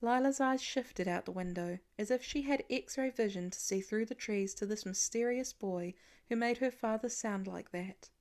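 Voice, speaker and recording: A woman's voice: medium pitch, moderate pace, darkish tone. A woman reading a story aloud as an audiobook narrator in scripted narration.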